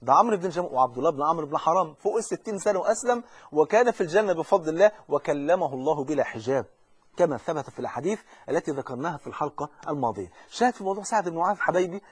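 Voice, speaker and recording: A man's voice: a little high, animated, very fast, breathy edge, no echo. A man with an Egyptian accent preaching a religious lesson, fervent and urgent, speaking straight to the listener and often repeating or restarting phrases. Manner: frequent repetitions and restarts.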